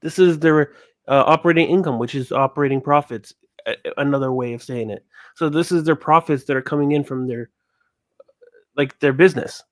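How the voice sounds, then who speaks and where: A man giving casual financial analysis as a panelist, explaining in a halting way. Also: a little high, dry recording, studio quality.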